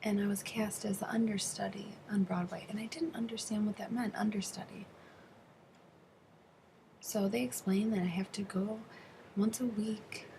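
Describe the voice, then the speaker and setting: A woman working as an interpreter, voicing an interview answer in the first person, phrase by phrase with halting delivery. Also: mid-range voice, steady tone, gravelly, mellow, slight background noise, low-quality recording. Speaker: sign language interpreter voicing a deaf interviewee.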